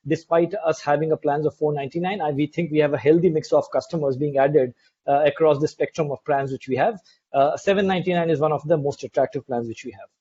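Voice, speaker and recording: Husky, mellow Indian man on a call. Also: on the high side. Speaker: company executive answering analysts. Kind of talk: earnings call answer. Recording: dull lo-fi conference call line.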